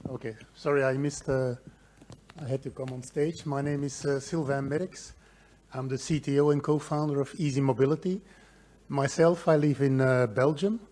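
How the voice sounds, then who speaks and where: A man co-founder starting a startup pitch in English, a little flustered. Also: steady tone, measured pace, dark tone.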